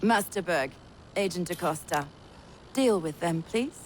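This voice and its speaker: A woman's voice: lowish voice, expressive, unhurried, noisy background. A woman playing a cold, imperious villain commander in a video game, icily polite and clipped. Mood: commanding. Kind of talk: video game character acting.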